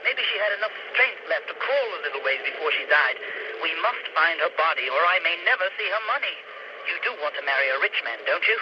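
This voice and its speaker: A pompous, greedy husband, played by a man, orders his lover about in a bossy, high-pitched voice. The radio-drama recording is dull and lo-fi, with clear noise behind. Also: lively intonation, quick pace, natural voice. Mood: scheming. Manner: pompous, bossy, then panicked. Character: scheming greedy British husband, middle-aged. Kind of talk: radio horror drama acting.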